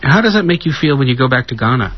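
A male radio presenter introducing a documentary segment and asking measured, inquisitive questions. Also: mid-range voice, big pitch swings, slightly rough, muffled recording.

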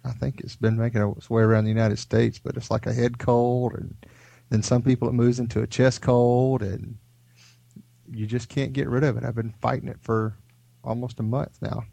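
A man's voice: medium pitch, flat delivery, normal speed, gravelly, mellow, no room sound. A man co-hosting a podcast, sick with a head cold, telling stories in a rambling, laid-back way. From Houston area. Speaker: podcast co-host. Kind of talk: casual chat.

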